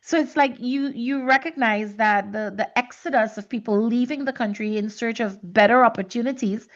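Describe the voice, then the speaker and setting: Female podcast host from Trinidad and Tobago, higher-pitched voice, searching conversational delivery, slightly muffled audio. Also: normal speed. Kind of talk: reflective conversational podcast talk.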